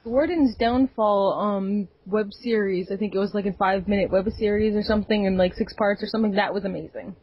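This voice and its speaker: A woman's voice: medium pitch, fairly even intonation, a little fast, few pauses, breathy edge, dry recording, lo-fi sound. A woman co-hosting a casual gaming podcast, rambling with lots of fillers and a sarcastic edge. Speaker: podcast co-host.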